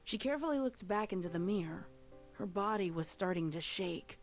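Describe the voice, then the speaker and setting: A woman's voice: mid-range voice, expressive, brisk. A woman reading scripted dramatic fiction as a story narrator. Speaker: audiobook-style story narrator. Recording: music playing under the narration. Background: music.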